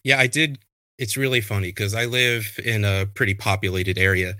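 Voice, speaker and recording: A man in his thirties, a fantasy football podcaster, chatting casually and telling animated stories with a self-deprecating streak. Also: fairly low pitch, normal speed, dry recording, clean studio sound.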